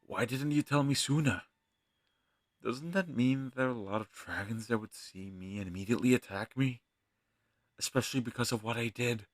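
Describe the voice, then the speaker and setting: A man playing an earnest, kind fantasy healer in an audio roleplay, speaking in a gentle, hesitant, slightly husky voice. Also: medium pitch, normal speed, no echo, clean studio sound, natural voice. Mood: alarmed. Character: earnest, kind young male fantasy healer, self-doubting.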